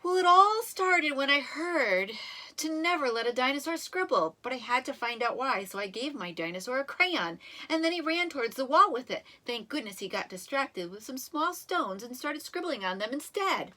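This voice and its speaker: A woman reading a children's story aloud in an expressive, animated way, with dramatic emphasis and drawn-out words. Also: sits high. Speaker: storybook reader.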